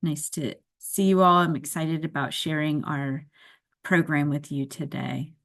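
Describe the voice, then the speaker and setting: A woman's voice: low-pitched, steady tone, unhurried, creaky, fairly bright tone. Woman presenting on a video call. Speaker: program director hosting an online open house. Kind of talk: informational presentation to prospective students.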